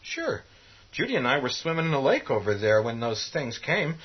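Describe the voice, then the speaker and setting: A man's voice: mid-range voice, very animated, moderate pace, natural voice. An actor playing a plain-spoken, helpful young local man, earnest and matter-of-fact, in a horror radio play. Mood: earnest, uneasy. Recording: dull, muffled audio.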